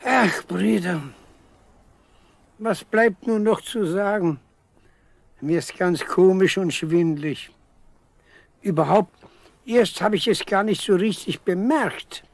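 A man's voice: high-pitched, vocal fry, no echo, heavily acted. An actor playing a weary, proud old village father in a 1970s German radio play, speaking hesitantly and plainly. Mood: tired, reflective. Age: older. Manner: hesitant, halting, plain-spoken.

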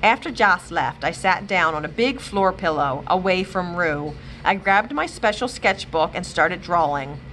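A woman librarian reading a children's chapter book aloud with expressive, animated storytelling. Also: fairly low pitch, a little slow, few pauses, a little hiss or hum, poor audio. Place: library, indoors.

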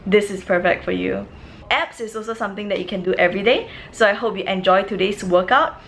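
A woman's voice: medium pitch, brisk, vocal fry, fairly bright tone. A young woman, a fitness vlogger, chatting casually to camera in a large indoor gym studio, tired after her workout. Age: young adult. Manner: chatty, loose, with frequent fillers.

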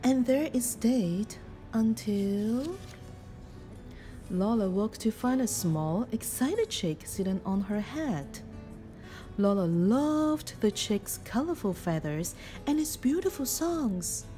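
A woman reading a children's picture book aloud in an expressive, deliberate storytelling manner. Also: a little high, faint music behind. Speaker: storybook reader.

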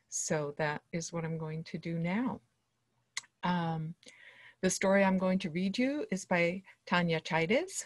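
A woman's voice: lowish voice, no echo. A woman in her sixties, a professor and guest reader, presenting and reading a personal monologue aloud over a video call. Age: 60s. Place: small dim wood-walled room indoors.

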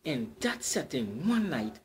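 Slow dramatic Trinidadian storyteller. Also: male voice, a little high, very animated, slight background noise. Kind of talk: reading a folk tale aloud.

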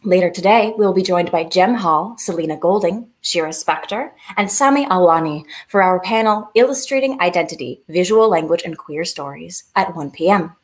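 A woman's voice: on the low side, moderate pace, few pauses. A young woman hosting an online festival, reading scripted announcements in an upbeat way from a video call at home. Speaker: festival host. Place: living room at home.

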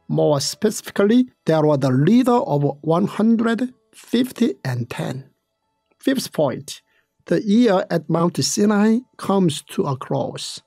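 A narrator reading a scripted Bible study in a measured, deliberate way. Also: mid-range voice, vocal fry, darkish tone, no echo.

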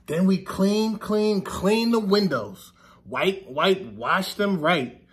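A man in his thirties, a teacher reading a children's picture book aloud in a deliberate sing-song way, stressing repeated words. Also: sits high, expressive. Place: classroom, indoors.

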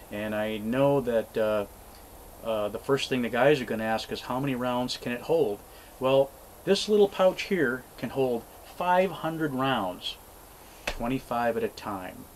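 An older man in his sixties, chatting casually to camera from an outdoor cabin porch in a rambling, folksy way with plenty of fillers. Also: mid-range voice. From Alaska. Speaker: homesteading vlogger. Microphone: camera mic at close range. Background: faint outdoor noise, passing airplane.

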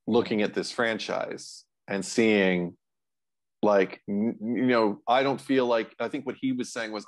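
A man in his forties talking casually as a co-host discussing films on a video call from a room at home. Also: lowish voice.

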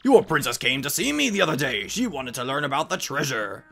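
A man voicing a shriveled old Goomba professor, an excitable, fussy scholar who lectures and exclaims, in a game fan dub. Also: mid-range voice, fairly quick, creaky, soft background music, full character voice. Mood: earnest.

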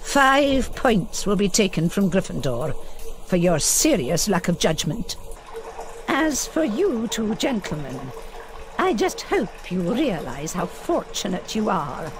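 Strict lady professor, slow n formal, scolding, pitch moves around a lot, video game scene. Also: big pitch swings. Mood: disappointed scolding. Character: stern, disappointed female professor of a wizard school. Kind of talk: video game cutscene acting.

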